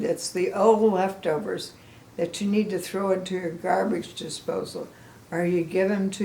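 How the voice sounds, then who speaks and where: A woman's voice: mid-range voice, gravelly. An older woman doing a children's read-aloud as a grandmother, with exaggerated emphasis and asides addressed straight to the listeners. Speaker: grandmother storybook reader. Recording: echoey room.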